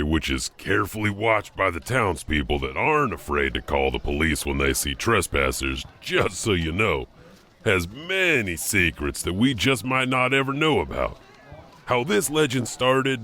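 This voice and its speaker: A man with a fairly low, bright voice narrates urban legends for a podcast. He tells them conversationally, with very lively intonation.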